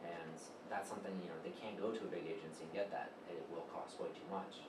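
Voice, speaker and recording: A man with a very deep voice explains his business in a plain, steady way between occasional ums. He sounds far from the mic in an echoey room, with noise behind him. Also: quick pace. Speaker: guest, web agency co-founder. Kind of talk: casual interview talk. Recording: roomy, distant mic with background noise.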